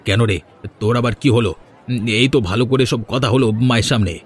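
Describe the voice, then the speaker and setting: A man's voice: mid-range voice, fast talker, husky, close to an everyday voice. A man voicing a bullying, impatient elder brother who sells vegetables, scolding brusquely. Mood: demanding. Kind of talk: moral-story cartoon dubbing. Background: faint music.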